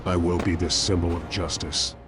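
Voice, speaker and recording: A man's voice: low-pitched, level tone, moderate pace, music in the background, lightly acted. A man playing a brooding, determined masked vigilante in a terse, solemn video game monologue. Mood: grim resolve. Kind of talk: cinematic video game voice-over.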